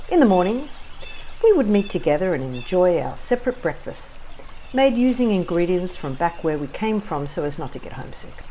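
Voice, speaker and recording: Female actor as whimsical, self-satisfied woman, audio drama narrator. Lower register, theatrical and wry, light noisy ambience. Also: darkish tone, natural voice. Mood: wry, smug. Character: self-satisfied, whimsical Australian woman recalling a wedding trip. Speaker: narrator and lead of an audio play. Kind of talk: scripted first-person storytelling.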